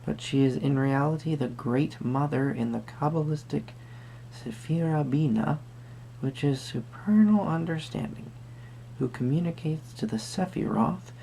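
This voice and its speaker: A performer playing a gentle, eccentric tarot card reader in an intimate roleplay, musing and hesitant, with a breathy voice that often drops to a whisper. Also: mid-range voice, unhurried, a little hiss or hum, rough recording. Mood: solemn reading. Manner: musing, hesitant, softly trailing off.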